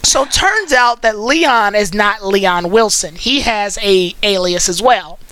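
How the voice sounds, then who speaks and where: A host chatting casually while recapping and critiquing an anime series, with an animated, wry storytelling manner. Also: mid-range voice, takes it slowly, dry recording, rough recording. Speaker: host of an anime commentary stream.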